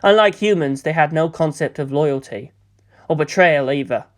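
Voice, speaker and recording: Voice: mid-range voice, normal speed. A narrator reading a scripted story aloud as an audiobook of fan fiction.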